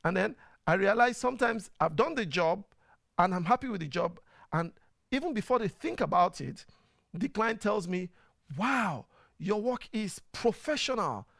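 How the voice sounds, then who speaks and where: This is a man with a Nigerian accent who hosts a casual online show. He sits a little high in pitch with a gravelly edge, and his voice sounds close and dry, with no room around it. He talks in a chatty way, full of fillers. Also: expressive, moderate pace, fairly bright tone, no room sound. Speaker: host. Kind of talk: casual live-stream talk.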